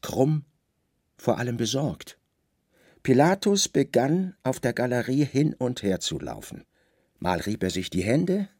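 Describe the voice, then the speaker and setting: A man giving a dramatic literary narration for a radio play in measured, deliberate, short weighted phrases. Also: medium pitch, husky, no room sound, clean studio sound.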